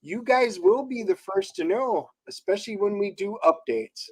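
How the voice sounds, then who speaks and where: Co-host: an upbeat man with a very high voice who speaks right to the audience. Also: lively intonation, normal speed, breathy edge. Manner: upbeat, addresses viewers directly. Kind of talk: casual livestream chat.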